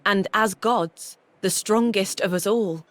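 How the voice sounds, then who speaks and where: A woman's voice: mid-range voice, takes it slowly, close to an everyday voice. A woman playing an earnest, compassionate warrior spirit in a video game, formal and deferential toward a goddess. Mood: earnest, resolute. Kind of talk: video game cutscene acting.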